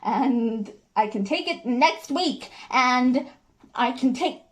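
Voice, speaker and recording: A woman acting a hurt, defiant, fiercely loving wife in a theatrical stage monologue, with emphatic, drawn-out delivery. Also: high-pitched, animated, pitched above the performer's own voice.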